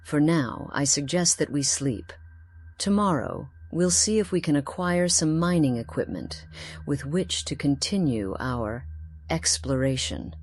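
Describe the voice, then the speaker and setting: A woman voicing an aloof, guarded otherworldly companion in a fantasy game, speaking in formal, measured phrases. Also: deep voice, expressive, darkish tone, heavily acted. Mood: practical. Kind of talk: scripted fantasy game dialogue.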